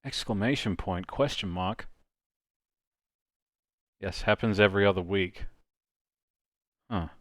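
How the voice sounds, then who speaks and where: A man's voice: sits low, slow, pauses often, fairly bright tone, dry recording, clean studio sound. A man hosting a live stream, chatting casually and joking with friends. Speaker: host of a live dubbing stream.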